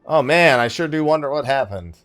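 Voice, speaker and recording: A man's voice: mid-range voice, lively intonation, a little fast, fairly bright tone. A man giving casual let's play commentary, thinking aloud in a wry, conversational way. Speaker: gaming YouTuber, host of the let's play.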